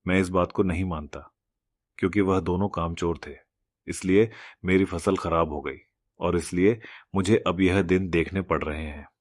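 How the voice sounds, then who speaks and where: A man's voice: low voice, fairly even intonation, normal speed, no room sound, studio quality. A man playing a cruel, stubborn, miserly rich village landowner in a moral cartoon story, with a harsh, scolding and domineering manner. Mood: stubborn, indignant.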